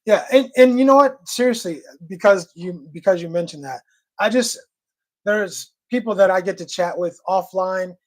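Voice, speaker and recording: A man's voice: high voice, expressive, moderate pace. A man co-hosting a call-in livestream, talking casually and emphatically with many restarts. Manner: restarts often, emphatic and friendly. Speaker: livestream co-host.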